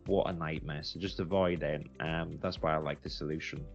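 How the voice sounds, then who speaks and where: A British man with a deep, gravelly voice talks through his experience in a casual, unscripted way. He explains conversationally, doubling up words for emphasis. Faint music plays behind him. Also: fairly even intonation, brisk, muffled recording. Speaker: recruitment agency founder giving a software review.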